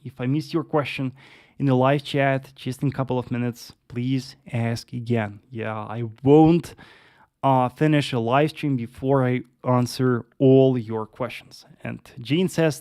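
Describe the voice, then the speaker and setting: A man's voice: mid-range voice, creaky, darkish tone, no echo, clean studio sound. Russian-accented man hosting a trading livestream. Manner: patient, repetitive, lots of uh and yes. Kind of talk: live-streamed market analysis with chat Q&A.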